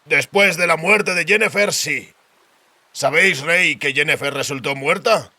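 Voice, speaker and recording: A man's voice: fairly high pitch, measured pace, sharp tone, pitched above the performer's own voice, heavily acted. A man voicing a cynical, stubborn royal spymaster in an audiobook, with terse, blunt delivery. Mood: guarded. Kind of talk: audiobook character dialogue.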